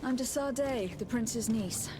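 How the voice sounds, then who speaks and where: A woman's voice: on the high side, a little slow, music in the background, natural voice, low-quality recording. An actress voicing a poised, courteous young noblewoman in a video game, formal and composed. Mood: composed, polite. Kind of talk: video game character acting.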